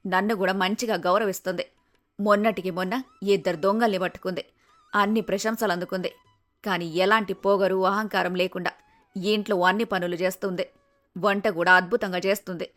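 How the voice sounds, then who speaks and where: A woman voicing a fond, scheming older mother in an animated moral story. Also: mid-range voice, normal speed, soft background music, close to an everyday voice. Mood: fond, musing.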